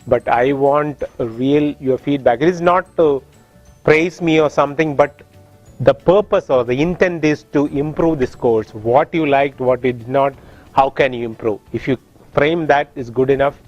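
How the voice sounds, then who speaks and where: A man from India with an Indian accent, teaching a course and explaining technical points with frequent pauses and restarts in a classroom. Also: mid-range voice, darkish tone, dull audio, faint music behind. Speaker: course instructor. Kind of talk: classroom lecture.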